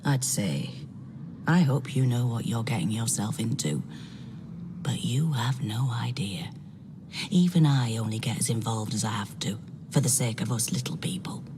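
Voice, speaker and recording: An actor playing a world-weary London police inspector with a British accent, gruff, wry and guarded, in a video game. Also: male voice, mid-range voice, moderate pace, big-room sound, noisy background, lightly acted. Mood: low, weary. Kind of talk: scripted video game cutscene acting.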